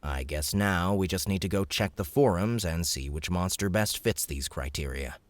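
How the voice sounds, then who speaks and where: Man as a firm, low-voiced young leader. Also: moderate pace, no room sound, lightly acted. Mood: decisive. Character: male dungeon boss, firm protective young leader. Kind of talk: audiobook character acting.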